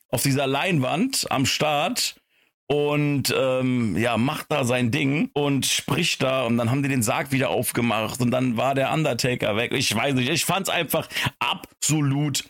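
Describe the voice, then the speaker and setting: Gravelly, husky man hosting a podcast. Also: mid-range voice, a bit bright. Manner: effusive, emphatic, colloquial. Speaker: podcast host. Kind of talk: casual, slangy chat.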